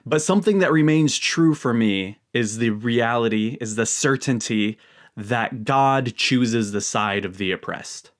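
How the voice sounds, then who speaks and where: A man's voice: mid-range voice, a little slow. An American man speaking earnestly and emphatically, as a host giving religious commentary straight to his viewers. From United States. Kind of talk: earnest talk to camera.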